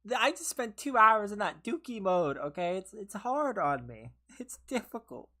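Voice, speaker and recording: A woman's voice: medium pitch, big pitch swings, unhurried, sharp tone. Tired, laughing woman streaming a game. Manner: laughs often, playful teasing of chat. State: tired and losing her voice. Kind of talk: casual live gameplay commentary with chat. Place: her own room at home.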